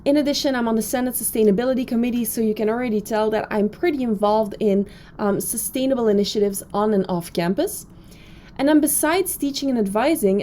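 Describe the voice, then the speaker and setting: A woman's voice: medium pitch, dark tone, a little hiss or hum. A woman in her thirties from Belgium, with a Belgian accent, giving a chatty, upbeat recorded introduction to her online course from her office, with frequent fillers. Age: 30s. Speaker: professor.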